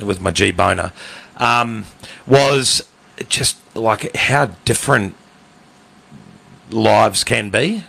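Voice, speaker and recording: A man in his thirties with a New Zealand accent, co-hosting a podcast in casual, teasing banter and telling stories haltingly. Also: lowish voice, animated, creaky, a bit bright, low-quality recording. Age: 30s. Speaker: podcast co-host.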